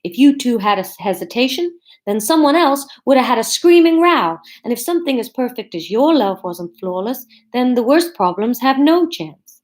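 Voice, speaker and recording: A woman's voice: a little high, sing-song, moderate pace, hardly any pauses, a little mellow. A woman voicing an earnest, questioning male deity in a story, slightly dim-sounding, with a made-up, vaguely Canadian accent. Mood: earnest, grave. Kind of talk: audiobook-style character dialogue.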